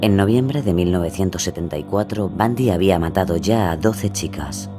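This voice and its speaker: A man's voice: low voice, moderate pace. A man narrating a scripted, dramatic true-crime story. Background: music.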